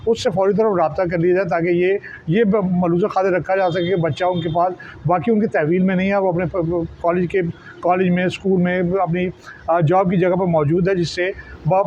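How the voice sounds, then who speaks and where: A man with a Pakistani accent explains in an interview as a journalist, wordy and halting, often repeating and restarting his words. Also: sits high, darkish tone. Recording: dull lo-fi sound, faint background noise.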